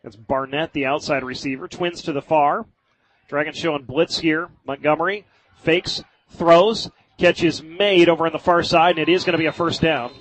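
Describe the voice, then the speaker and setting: Guy doing high school football play-by-play, slightly high voice, short punchy phrases. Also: steady tone, normal speed, poor audio. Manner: narrates action in short clipped phrases. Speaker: play-by-play sports announcer. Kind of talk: live high school football radio commentary.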